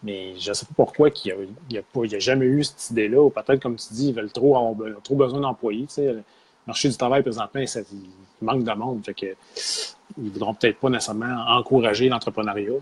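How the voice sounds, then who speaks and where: A man's voice: mid-range voice, quick pace, slightly rough. A man with a Québécois French accent, co-hosting a casual discussion, hesitant and searching for words.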